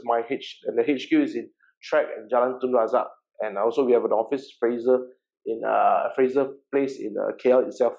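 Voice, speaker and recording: A man's voice: mid-range voice, darkish tone, low-quality recording. A man in his forties with a Malaysian accent, giving an informal seminar presentation in a chatty way, repeating words and checking in with "okay". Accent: Malaysian English. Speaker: workshop presenter and real estate agency founder.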